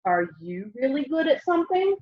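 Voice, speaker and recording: High-voiced young woman reading aloud, muffled audio. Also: normal speed. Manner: expressive, addresses young listeners with questions. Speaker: storybook reader. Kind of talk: children's read-aloud. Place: room at home, indoors. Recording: dull, lo-fi home webcam audio.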